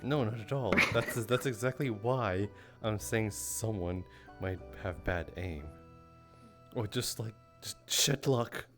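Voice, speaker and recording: Low-voiced man teasing wryly over music. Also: slow pace. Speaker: streamer roleplaying online. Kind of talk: improvised in-character roleplay chat. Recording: music playing behind the voice.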